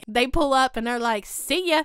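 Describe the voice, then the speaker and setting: Chatty woman with a clear voice. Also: high voice, moderate pace, fairly bright tone, no echo, clean studio sound. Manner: chatty and gossipy, lots of "like" and "y'all". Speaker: YouTube recap host. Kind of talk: casual recap chat. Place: home studio. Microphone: desk mic beside her.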